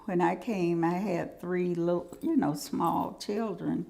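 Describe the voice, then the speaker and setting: A woman from Georgia slowly recalling moving north with her young family. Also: lowish voice, steady tone, vocal fry, warm tone. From Georgia, US South. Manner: slow, reflective storytelling. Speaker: oral history interviewee. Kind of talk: personal recollection.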